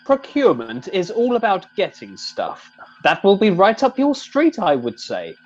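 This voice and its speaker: A man's voice: high-pitched, wildly swooping pitch, normal speed, slightly rough, highs cut off, soft background music, heavily acted. A man playing a polite, mild-mannered middle-aged sergeant in an amateur comedy radio play, courteous and hesitant. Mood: gently chiding.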